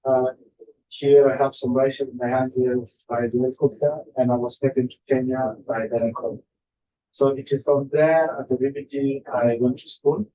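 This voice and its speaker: A man from South Sudan, testifying slowly to a legislative committee with many pauses and fillers. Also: mid-range voice, fairly even intonation, mellow. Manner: slow, halting, many uh fillers. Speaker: adult education student testifying. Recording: dull lo-fi room audio with faint noise.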